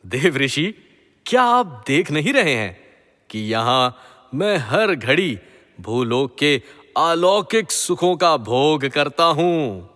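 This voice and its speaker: A jealous king of the gods in a mythological audio drama. He speaks slowly in a bright, forceful mid-range voice, pompous and haughty, ringing through a long reverb. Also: a little high, very animated, full character voice. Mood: scornful.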